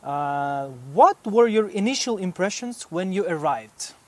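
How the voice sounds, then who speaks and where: A man's voice: high voice, animated, measured pace. A man interviewing a visiting professor, asking questions politely and encouraging him along. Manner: polite, encouraging, frequent backchannel. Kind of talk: university interview.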